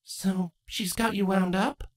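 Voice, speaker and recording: A woman's voice: medium pitch, fairly even intonation, takes it slowly, lightly acted. A woman voicing a young, bookish changeling princess, caring and earnest, with a casual manner in a fantasy audiobook. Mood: concerned. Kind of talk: acted fan fiction audiobook dialogue.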